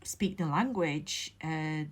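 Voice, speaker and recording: A middle-aged woman from Latvia, whose first language is Latvian, speaks English with a Latvian accent touched by Derbyshire English. She talks casually from home and pauses often to choose her words. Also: sits low, breathy edge. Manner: thoughtful, many pauses and fillers, careful phrasing. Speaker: memoir author and vlogger. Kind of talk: casual reflective monologue to camera. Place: home, indoors.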